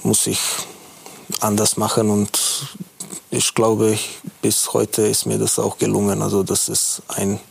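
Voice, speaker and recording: A man's voice: lowish voice, completely flat delivery, unhurried, gravelly, a little mellow, breathy edge, poor audio. A man with a foreign accent, German not his first language, a football coach answering candidly and self-critically in a studio interview, with many hesitations.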